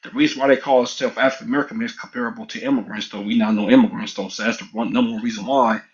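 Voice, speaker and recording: A middle-aged man makes his case in a casual online room, pressing hard and circling back over the same points. His voice sits at an ordinary pitch for a man. The audio comes through dull and lo-fi. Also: steady tone, very fast. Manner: assertive, rambling, repetitive. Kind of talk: casual heated argument.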